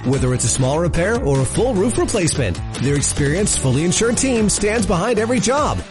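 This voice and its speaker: A man reading a scripted radio commercial as an upbeat sales pitch. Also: very animated, fairly quick, music in the background. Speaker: ad voiceover.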